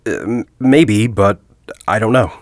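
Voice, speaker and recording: An actor playing a forty-year-old university headmaster in an audio drama, a secretive academic who speaks in a deliberate, articulate, confiding way. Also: male voice, fairly low pitch, no echo, studio quality, lightly acted. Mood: guarded, challenging. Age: 40s. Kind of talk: audio drama dialogue and storytelling.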